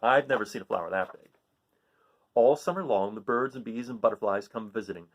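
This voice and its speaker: A man reading a children's picture book aloud, with dramatic, drawn-out emphasis on key words. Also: on the low side. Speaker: storybook reader reading aloud.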